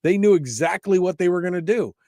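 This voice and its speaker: A man's voice: high voice, lively intonation, fairly quick, darkish tone, no echo, clean studio sound. A man hosting a political talk radio show, talking conversationally and often restarting his phrases for emphasis.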